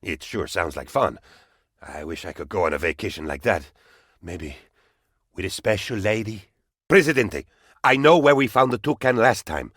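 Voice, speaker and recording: Game role: pompous, lovesick, paranoid presidential sidekick. Lowish, very animated male voice, theatrical delivery, close dry recording. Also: moderate pace, pauses often, dry recording. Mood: scheming, muddled. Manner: pompous, melodramatic. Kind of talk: theatrical video game character voice lines.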